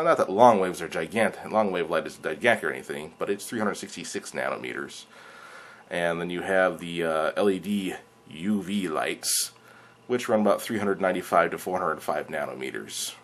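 A young man talking casually and a little hesitantly, explaining things to camera in a home vlog. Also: deep voice. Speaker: video creator, hobbyist presenter. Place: living room indoors. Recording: clear steady background noise.

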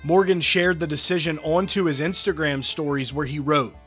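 Upbeat male news host, a bit high. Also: fairly even intonation, normal speed, fairly bright tone, breathy edge, soft background music. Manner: upbeat, reads quotes aloud. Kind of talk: scripted entertainment news narration.

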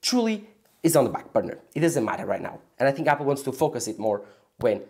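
A man sharing opinions on his tech channel in a relaxed, off-the-cuff way. His voice sits at an ordinary middle pitch and he repeats phrases as he thinks aloud. The energy lifts as he gets excited about ideas. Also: fast talker, gravelly. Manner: enthusiastic, repetitive, speculating aloud. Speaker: tech video host. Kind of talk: casual opinion vlog to camera.

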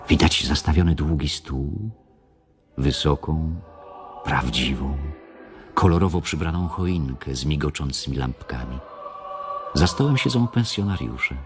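An actor narrating a radio play, reading the scene directions in a measured, descriptive manner. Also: male voice, very deep voice, lively intonation, exaggerated voice. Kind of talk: scripted radio drama narration. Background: music.

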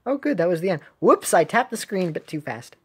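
A woman hosting a homemade game video in a casual way. Her voice is on the low side and moves around freely in pitch. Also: big pitch swings, brisk, slightly rough. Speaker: video host reading game cutscenes aloud. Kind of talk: casual amateur game commentary.

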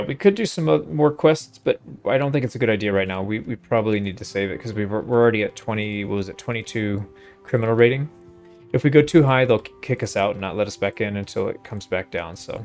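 A man's voice: lowish voice, a little mellow. A man giving casual gameplay commentary, thinking aloud in a laid-back way as he hosts a playthrough. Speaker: host of a gaming playthrough.

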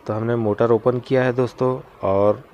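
A man's voice: medium pitch, fairly even intonation, moderate pace, muffled recording, slight background noise. An Indian-accented man giving an informal how-to narration as a mechanic and channel host, often addressing viewers as friends. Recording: loud music behind the voice. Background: loud music.

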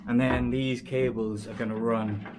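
A man's voice: medium pitch, flat delivery, measured pace, noisy background. A man presenting a casual hands-on vlog in a small boat cabin, explaining his work and thinking aloud hesitantly. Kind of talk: casual explanatory vlog talk while working.

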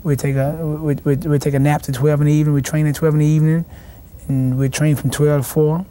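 A young man, a boxing champion answering in a TV studio interview, halting and restarting his words. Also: fairly high pitch, flat delivery, dark tone. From South Carolina, raised in Washington, D.C. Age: young adult. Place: TV studio set.

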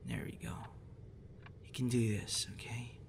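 A man playing a gentle, encouraging young voice actor in a soft, hesitant, reassuring ASMR boyfriend roleplay. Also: mid-range voice, very animated, a little slow, creaky. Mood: tender. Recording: noticeable background noise.

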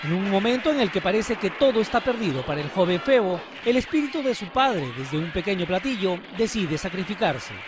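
A man's voice: high voice, very animated, brisk, hardly any pauses, background noise. A man narrating a scripted television feature as a reporter, in a dramatic storytelling manner. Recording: studio voice-over with loud music bed. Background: loud music.

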